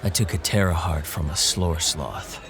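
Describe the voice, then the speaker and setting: An actor playing a wry, seasoned monster hunter who talks calmly and drily. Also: male voice, low voice, some chatter behind. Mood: recounting. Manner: calm, dry and unhurried, lightly mocking. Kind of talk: audio drama acting.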